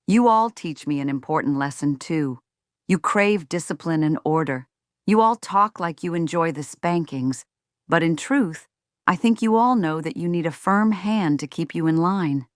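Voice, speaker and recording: A woman's voice: sits low, no room sound, studio quality. A woman playing a strict, self-righteous middle-aged mother in a scripted roleplay, speaking to viewers with folksy, sugary condescension. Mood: condescending. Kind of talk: scripted ASMR roleplay monologue.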